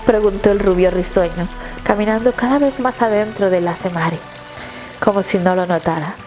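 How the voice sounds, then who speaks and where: A woman narrates dramatic fiction in a measured, literary way. Her pitch sits in the middle range for a woman, and music plays faintly behind her. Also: darkish tone. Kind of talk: scripted dramatic story narration.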